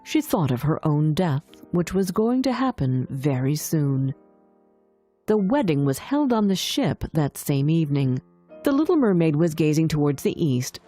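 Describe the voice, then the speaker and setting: A woman's voice: sits low, dark tone, slightly rough. A woman narrating a scripted fairy tale as a storyteller for language learners.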